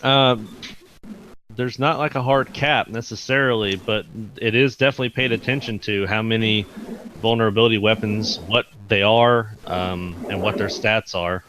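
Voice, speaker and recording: A man's voice: fairly low pitch, fairly even intonation, normal speed, a bit bright, slight background noise, rough recording. A man on a gaming podcast panel, explaining things in casual, somewhat hesitant talk. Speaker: podcast panelist and game staff member. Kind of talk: casual podcast chat about an online game.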